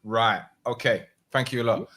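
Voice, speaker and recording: A man's voice: medium pitch, moderate pace, a bit bright. A man co-hosting a live podcast, joking and stumbling over names as he introduces guests. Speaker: podcast co-host.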